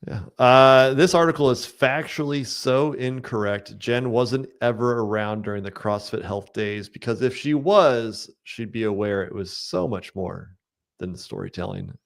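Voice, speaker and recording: A man's voice: medium pitch, big pitch swings, a little slow, gravelly. Man reading out online posts. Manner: plain, reading out posts with many ums. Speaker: podcast co-host. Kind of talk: casual chat.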